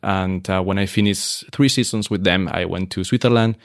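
A deep-voiced man talks through his career at an easy pace. His voice is low and gravelly, full of "uh" and restarts. The sound is dry and close. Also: moderate pace, no echo. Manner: relaxed, many fillers and restarts. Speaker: hotel operations expert, guest. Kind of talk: casual interview conversation.

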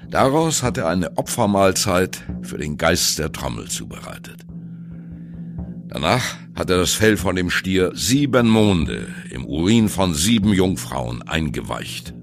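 A man's voice: low-pitched, very animated, a little slow, vocal fry, exaggerated voice. An actor playing a sardonic jazz musician in a radio play, confiding his absurd story to the listener in a dramatic, wry manner. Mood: wry storytelling. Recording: music behind the voice.

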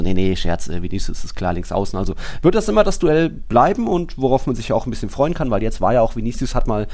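Fast-talking, low-pitched man podcasting. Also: a little mellow. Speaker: football podcast host. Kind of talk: casual sports podcast commentary.

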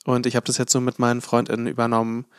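A man's voice: medium pitch, monotone, quick pace, clean tone, no echo. A man co-hosting a podcast, talking in loose, rambling sentences full of hedges. His voice sits close and dry on the mic with no room sound. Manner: rambling, many fillers and hedges. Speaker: podcast co-host. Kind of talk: casual chat.

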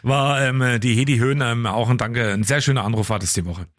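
A man hosting a morning radio show, cracking jokes and reading listener mails aloud. His voice sits at an ordinary middle pitch and comes through a close studio mic with a completely dry sound, no room at all. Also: brisk, no echo. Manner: joking, reads out listener entries. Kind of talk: casual radio banter. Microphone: studio microphone.

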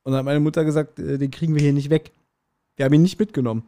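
A man's voice: on the high side, little pitch movement, rapid-fire, mellow. A man co-hosting a podcast in casual chat, with dry, self-deprecating banter. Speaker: podcast co-host.

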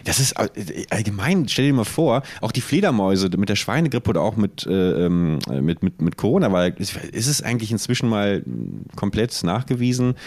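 Low, dry-sounding man chatting. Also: animated, fairly quick, hardly any pauses, darkish tone, no echo. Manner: ironic, self-deprecating, rambling with stutters. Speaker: podcast co-host. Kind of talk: casual joking chat.

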